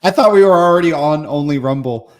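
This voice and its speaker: A man's voice: a little high, fairly quick, a little hiss or hum. A man co-hosting a casual political podcast, incredulous and joking in an irreverent way. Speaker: podcast co-host.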